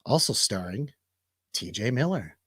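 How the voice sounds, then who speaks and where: A man's voice: medium pitch, very animated, measured pace, a bit bright, husky, no echo, studio quality. A man hosting a casual movie review radio show.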